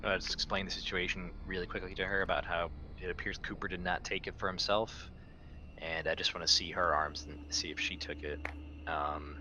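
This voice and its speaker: A man's voice: low voice, little pitch movement, fairly quick, bright, forceful tone. A man playing a tabletop roleplaying game, improvising in character in a casual, blunt and assertive way. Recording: clear background noise.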